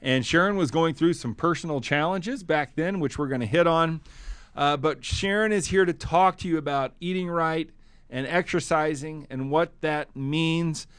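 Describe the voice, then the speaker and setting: A man's voice: a little high, normal speed, a bit bright, smooth, dry recording. A man hosting a motivational podcast, with an enthusiastic, punchy delivery. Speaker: podcast host.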